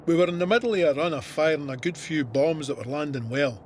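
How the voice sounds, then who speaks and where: An actor as a Scottish soldier recounting war. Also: male voice, on the high side, fast, a little mellow, a little hiss or hum, lightly acted. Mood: warm, reflective. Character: soldier Sandy recounting his Gallipoli service, plain-spoken and vivid. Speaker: narrator. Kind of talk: scripted first-person war memoir narration.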